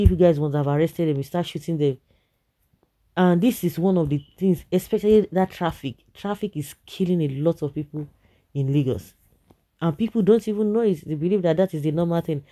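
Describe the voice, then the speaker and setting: Nigerian commentator with a very dark, mellow voice. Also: medium pitch. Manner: exasperated, emphatic, repeats phrases for effect; slips between English and Nigerian Pidgin. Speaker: commentator reading and reacting to viewer comments. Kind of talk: informal opinionated news commentary.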